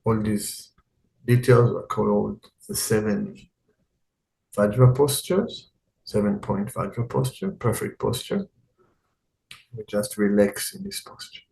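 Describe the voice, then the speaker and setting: A man teaching guided meditation in a calm, unhurried way, speaking in short phrases with long pauses. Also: lowish voice, creaky, low-quality recording.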